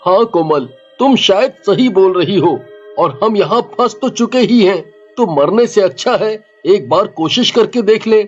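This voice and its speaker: Man as a high-voiced, slow young cartoon man. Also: expressive, husky, lo-fi sound, soft background music, exaggerated voice. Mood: coaxing. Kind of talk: cartoon dialogue acting.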